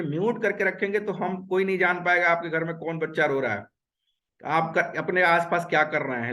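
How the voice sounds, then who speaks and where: A man's voice: fairly high pitch, a bit bright. Earnest man teaching a webinar. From Uttar Pradesh, India. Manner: earnest and repetitive, mixes English words. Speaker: webinar instructor. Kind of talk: online webinar lecture. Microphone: video call.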